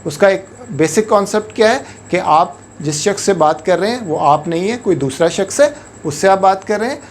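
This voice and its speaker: Man explaining calmly. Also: high-pitched, a little hiss or hum. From Pakistan. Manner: measured, patient explaining, switching often into English words and phrases. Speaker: English language teacher. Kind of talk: instructional talk to camera.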